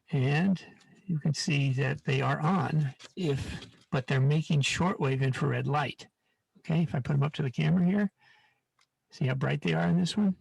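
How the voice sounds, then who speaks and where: A man's voice: medium pitch, creaky, darkish tone, breathy edge, no room sound, poor audio. A man leading an informal live online science demonstration, repeating words for emphasis and often checking in with the listeners.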